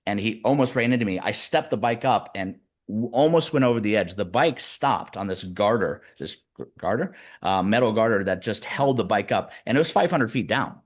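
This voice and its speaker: A man in his fifties, a guest executive coach, telling stories in a casual interview with lively, shifting pacing. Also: on the low side. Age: 50s. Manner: animated storyteller, speeds up and slows down.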